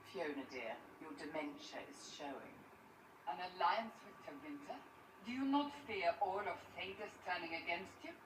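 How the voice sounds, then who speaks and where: A woman playing a haughty, sharp-tongued mage adviser, poised and disdainful, in a fantasy video game. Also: fairly low pitch, animated, moderate pace, natural voice. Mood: scornful. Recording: roomy, distant, noisy game capture.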